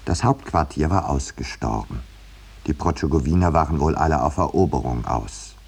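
An actor playing a mild, politely ironic landscape painter in a 1950s German radio play, speaking in a dry, courteous way. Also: male voice, rumbling low, animated, moderate pace, gravelly, darkish tone, a little hiss or hum, exaggerated voice. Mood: wry narration.

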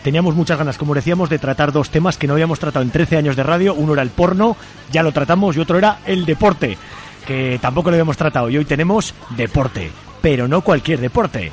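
A man's voice: a little high, quick pace. A man with an accent from Spain, presenting live as the host of a radio show. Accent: Spanish from Spain. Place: radio studio.

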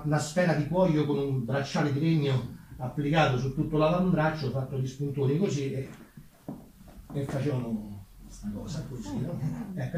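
A man's voice: a little high, slight background noise. Echoey Roman man storytelling on stage. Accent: Roman Italian. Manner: colloquial, ironic, conversational asides. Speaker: stage narrator, solo theatre performer. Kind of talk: theatrical comic historical storytelling to an audience. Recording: very echoey room, distant microphone.

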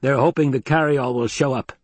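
A man's voice: mid-range voice, fairly even intonation, brisk, breathy edge, no room sound, voice pushed up, lightly acted. A man voicing a practical desert expert in an audiobook, giving brief, factual answers. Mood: informative.

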